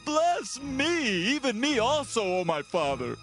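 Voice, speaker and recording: An actor playing a bitter, pleading firstborn son in a dramatized scripture reading, delivered with passion. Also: male voice, very high voice, big pitch swings, a little slow, fairly bright tone, faint music behind, exaggerated voice. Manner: impassioned, pleading.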